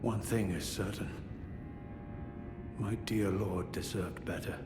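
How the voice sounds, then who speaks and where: An actor playing an aging, honorable warrior knight in a fantasy game, speaking in a measured, formal, ruminative way. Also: male voice, low-pitched, fairly even intonation. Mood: grave, bitter. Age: older. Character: aging honorable male warrior knight, weary, grave, courteous. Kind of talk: dramatic fantasy video game dialogue. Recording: echoey hall with long reverb, music behind.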